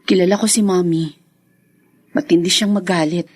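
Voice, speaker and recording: An actress playing a gentle, anxious young wife and mother in a radio drama, pleading and hesitant. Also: on the low side, fairly even intonation, moderate pace, lightly acted.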